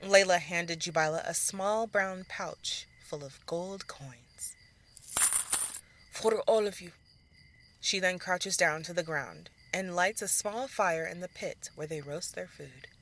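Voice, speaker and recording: A woman's voice: on the low side, a little slow, few pauses, fairly bright tone, a little hiss or hum. A woman reading scripted narration for a fantasy story as its narrator. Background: faint music.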